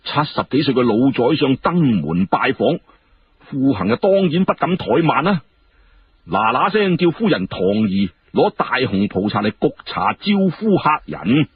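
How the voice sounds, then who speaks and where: A male storyteller narrates in a lively, colloquial way. His voice is very husky and swings widely in pitch, on a slightly dull recording. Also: a little slow. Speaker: storyteller narrating historical fiction. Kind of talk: animated colloquial Cantonese storytelling.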